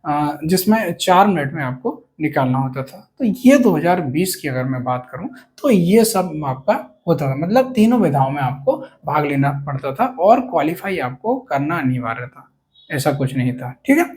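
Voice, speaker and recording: A man's voice: on the high side, sing-song, normal speed, darkish tone. A man giving an informal explainer to students, repeating key points emphatically and often checking that they follow. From India. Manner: repetitive, emphatic, with frequent check-in tags. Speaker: host of a police exam advice channel.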